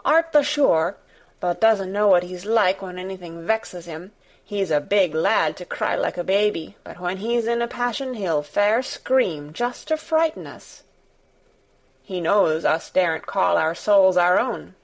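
An excitable young maid from a storybook, acted by a woman narrator. She is plain-spoken and superstitious, given to breathless exclamations in broad dialect. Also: fairly low pitch, unhurried. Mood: amazed.

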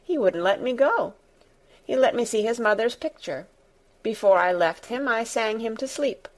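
A frank young girl from a storybook, voiced by a woman, pitched up from the narration. She is determined and curious, and speaks in a direct, matter-of-fact way. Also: lively intonation, moderate pace, natural voice. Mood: pleased, matter-of-fact.

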